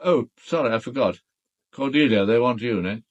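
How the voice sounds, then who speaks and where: An actor with a British accent playing a reserved, unruffled gentleman in a radio drama, terse and matter-of-fact. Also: mid-range voice, moderate pace, muffled recording, close to an everyday voice.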